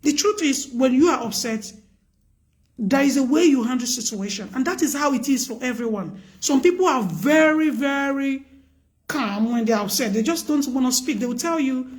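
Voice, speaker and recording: A woman speaks on a live stream in a high, loud voice. Her advice is earnest and emphatic, and she repeats herself to drive points home. Her tone is preachy and casual. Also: expressive, normal speed.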